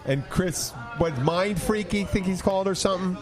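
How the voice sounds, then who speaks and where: A man's voice: high-pitched, moderate pace, music in the background. A man hosting a podcast in a casual, joking chat style. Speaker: podcast host. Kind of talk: casual comic bar chat.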